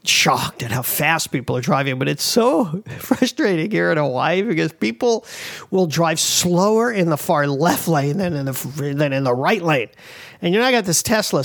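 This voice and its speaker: Middle-aged East Coast man, podcast host; gravelly, dry, loose and rambling with fillers. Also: a little high, no echo. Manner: rambling, many fillers and restarts. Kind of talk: casual advice talk.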